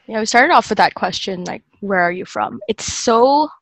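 A woman's voice: medium pitch, lively intonation, moderate pace, gravelly, fairly bright tone, no echo. A young adult woman from Indonesia hosting a casual panel discussion. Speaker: podcast host.

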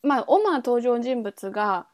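A woman's voice: fairly high pitch, animated, unhurried. A woman podcast host talking casually and informally, thinking aloud and correcting herself as she explains things. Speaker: podcast host introducing a book. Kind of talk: casual chat explaining a novel.

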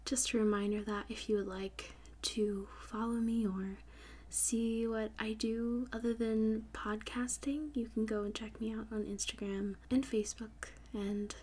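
A woman hosts a sleep podcast in a soft ASMR whisper. Her voice is airy and breathy, with very little rise and fall. She takes her time, carefully repeating and spelling out words at a slow pace. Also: on the high side, little pitch movement. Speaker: podcast host.

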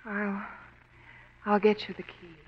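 An actress playing an anxious, secretive young heiress in a 1940s radio drama, speaking in a breathy, emotional way. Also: female voice, medium pitch, little pitch movement, slow, a little hiss or hum, close to an everyday voice. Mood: upset. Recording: muffled 1940s radio broadcast.

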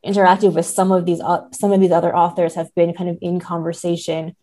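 A woman's voice: fairly low pitch, level tone, fairly quick, warm tone. A woman talking through literary ideas in conversation as a podcast guest, thinking aloud and often hedging her points. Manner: hesitant, hedging, thinking aloud, seeking agreement with "right?".

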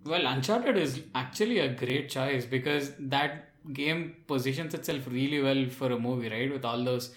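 A man's voice: medium pitch, normal speed, few pauses. Roomy-sounding man, blunt casual chat. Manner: blunt, opinionated. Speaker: podcast co-host. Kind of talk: casual chat about films and games. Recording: roomy, echoing voice pickup.

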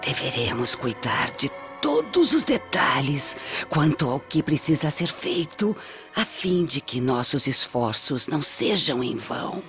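A woman playing a scheming, menacing old witch in a radio drama, with a Brazilian accent and slow, theatrical delivery. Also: rumbling low, big pitch swings, full character voice. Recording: muffled radio drama, music underneath.

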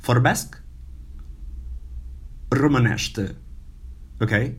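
A man who is not a native English speaker giving an informal language lesson, halting and full of fillers, repeating words for the learner. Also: medium pitch, husky. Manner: slow, halting, many fillers and repetitions. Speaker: language teacher.

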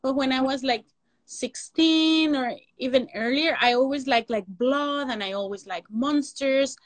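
A woman's voice: sits high, a little slow, no room sound. A woman from Mexico City with a Mexican Spanish accent and Spanish as her first language, talking casually as an interview guest, rambling with lots of fillers over a video call. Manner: rambling, frequent "like" fillers, non-native grammar, laughs often. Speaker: guest, makeup and prosthetic artist.

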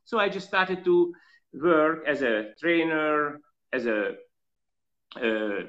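A man who is not a native English speaker, with a slight European accent, telling his life story earnestly in an interview and pausing often on fillers. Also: a little high, animated, a bit bright, highs cut off. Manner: earnest, reflective, halting with fillers. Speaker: guest.

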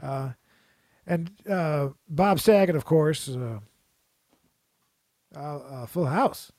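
A man's voice: on the high side, slow, a little mellow, no echo, clean studio sound. A man chatting casually and jokingly, rambling through stories with frequent restarts. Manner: rambling, jokey, restarts often. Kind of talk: casual chat.

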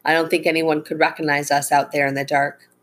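A woman's voice: deep voice, flat delivery, brisk, slight background noise, lightly acted. Woman as resolute, earnest storybook dad. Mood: concerned, planning. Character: protective father, resolute and earnest adult man.

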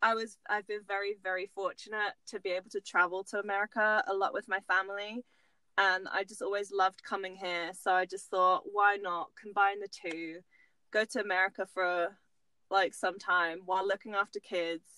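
A woman's voice: medium pitch, level tone, normal speed, sharp tone, clear, resonant tone, dry recording. A young Dutch woman in her twenties with an English accent, chatting as a podcast guest and laughing easily. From Netherlands. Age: 20s. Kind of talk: casual chat.

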